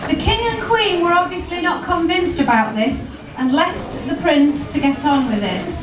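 A woman's voice: very high-pitched, normal speed. A woman narrating a stage pantomime and proclaiming announcements, recorded in an echoey hall. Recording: echoey hall, distant mic, very noisy audience.

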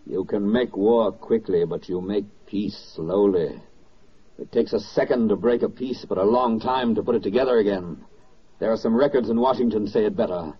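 A solemn 1940s radio narrator with an American accent. The voice is husky and the delivery is grand, emphatic oratory. It comes through a dull vintage recording. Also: male voice, on the low side, moderate pace. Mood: urgent, exhorting. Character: solemn, stirring male narrator addressing soldiers and sailors.

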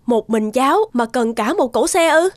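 A woman voicing a kindly fairy-godmother judge in a cartoon, speaking in a measured, gently questioning way. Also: sits high, expressive, no echo. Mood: gently curious. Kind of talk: animated cartoon dialogue.

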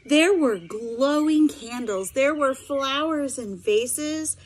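Woman, middle-aged, expressive storybook reader, instructive asides, high voice, unhurried pace. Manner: expressive, instructive, addresses listeners directly. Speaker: special education teacher reading aloud. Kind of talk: picture-book read-aloud with asides to students.

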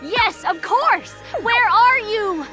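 A woman's voice: extremely high pitch, animated, takes it slowly, loud music behind the voice, heavily acted. A woman voicing an eager, brave young boy bus in a children's cartoon, earnest and exclamatory. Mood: earnest, determined. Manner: exclamatory, wide-eyed, earnest.

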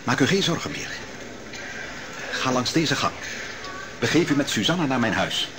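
An actor playing a calm, commanding man giving hushed orders in a radio drama. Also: mid-range voice, normal speed, close to an everyday voice. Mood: urgent.

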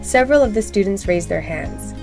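A woman reading a children's storybook aloud in scripted narration, expressive and clearly phrased for young listeners. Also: medium pitch, brisk, soft background music.

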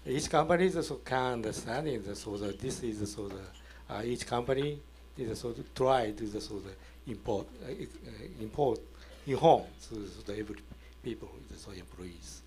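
A man in his sixties with a Japanese accent, speaking halting, broken English as a conference panelist. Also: mid-range voice, animated, a little mellow. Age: 60s. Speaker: panelist, company executive. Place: indoor conference event on a stage. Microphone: handheld microphone.

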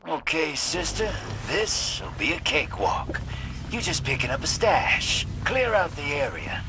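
An actor voicing a shady, streetwise rogue and survivor in a video game, with slangy, conspiratorial swagger. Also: male voice, fairly high pitch, big pitch swings, unhurried, noisy background. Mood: brisk, conspiratorial. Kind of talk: video game character dialogue.

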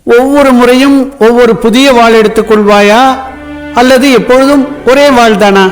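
Older sage, terse and commanding, high-pitched, faint music bed. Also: male voice, fairly even intonation, moderate pace. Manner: terse, authoritative instructions. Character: old revered male sage, calm and commanding. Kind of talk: radio drama acting.

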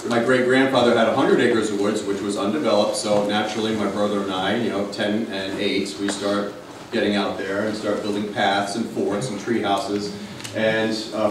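A man's voice: on the low side, noisy background. A man in his thirties from Connecticut giving a casual, digressive history talk to an audience in a library meeting room. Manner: chatty, digressive, self-deprecating with many fillers. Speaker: local history lecturer. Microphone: far camera, no mic seen. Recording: roomy echo, distant from the camera.

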